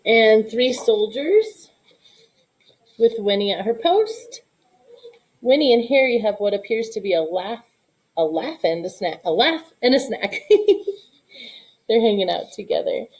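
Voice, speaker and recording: A woman reading a children's picture book aloud in an expressive storytelling style. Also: on the high side, a little slow, pauses often, rough recording. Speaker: storybook reader.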